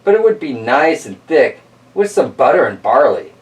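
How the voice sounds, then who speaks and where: A man playing a hungry, sly young man who smooth-talks his way through a storybook. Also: medium pitch, big pitch swings, normal speed, recorded from a distance, close to an everyday voice, low-quality recording. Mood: coaxing. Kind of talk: storybook character reading.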